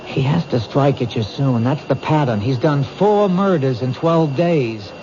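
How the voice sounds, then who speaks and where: A man's voice: mid-range voice, expressive, measured pace, darkish tone. A man playing an earnest, dogged police detective in 1970s radio drama, speaking with urgent insistence. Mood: intense, reasoning. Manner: urgent, insistent, reasoning things out aloud. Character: earnest, dogged male police detective protecting a threatened woman. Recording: noisy, dull 1970s radio recording.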